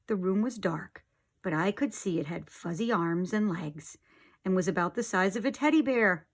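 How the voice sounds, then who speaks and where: A middle-aged woman, an expressive storyteller reading aloud for young children. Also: medium pitch, normal speed.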